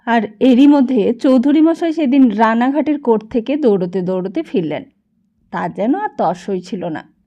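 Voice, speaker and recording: A woman's voice: on the high side, animated, moderate pace, warm tone, dull audio. A woman narrating a novel expressively as an audiobook.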